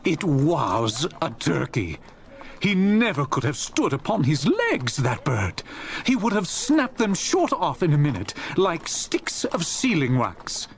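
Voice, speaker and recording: A man narrating a Victorian Christmas tale as a genial, expressive, theatrical audiobook storyteller. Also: on the high side, takes it slowly, gravelly, full character voice. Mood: amused.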